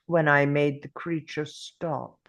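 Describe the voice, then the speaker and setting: A man playing a weary, grieving time traveller who muses slowly to himself in a script table read. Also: a little high, little pitch movement, vocal fry, a bit bright, heavily acted. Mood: pondering.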